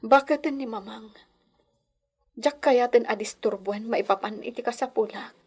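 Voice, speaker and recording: Woman playing anxious mom in a radio drama, highish breathy voice, pleading and earnest. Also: unhurried, darkish tone. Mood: plaintive, worried. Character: anxious, pleading mother longing for her children. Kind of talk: Ilocano radio drama acting.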